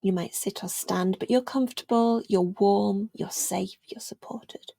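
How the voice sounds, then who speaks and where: Hushed, creaky-voiced woman guiding meditation. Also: mid-range voice, dry recording. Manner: gentle, soothing, unhurried with long pauses, trailing into near-whisper. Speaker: meditation guide, yoga teacher. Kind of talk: guided meditation narration.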